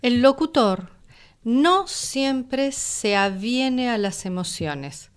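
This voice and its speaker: Clear-voiced Argentine woman in conversation. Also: fairly high pitch, lively intonation, very slow. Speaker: radio drama discussion panelist. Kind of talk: conversational panel discussion.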